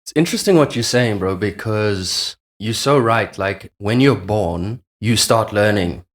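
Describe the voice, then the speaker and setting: A man with a fairly low voice chats informally as a podcast host. He muses out loud, putting his thoughts as open, rhetorical questions. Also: a little slow. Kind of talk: casual reflective chat.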